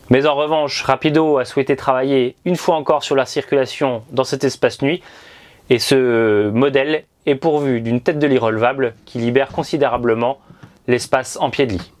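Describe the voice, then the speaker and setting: A man in his thirties presenting a scripted motorhome review on a lapel mic, with precise, presenter-like delivery. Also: medium pitch. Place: inside a motorhome, then outdoors by the roadside.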